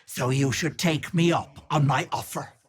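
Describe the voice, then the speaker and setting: A woman playing a sly old hag witch in a video game, with an Irish accent and a husky, raspy voice that wheedles sweetly and turns menacing. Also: deep voice, steady tone, a little slow. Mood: sweetly wheedling. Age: older. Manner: wheedling endearments turning to menace. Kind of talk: video game character acting.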